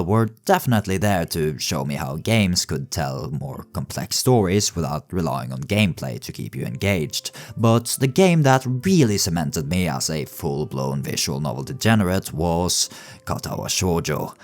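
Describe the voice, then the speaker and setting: A man giving scripted comedic narration as a video essay host, in a wry, tongue-in-cheek way. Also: sits low. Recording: music behind the voice. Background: background music.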